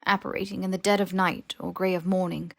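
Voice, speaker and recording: A woman's voice: lowish voice, little pitch movement, a little fast, gravelly, no echo, clean studio sound. A woman reading scripted fiction as an audiobook narrator.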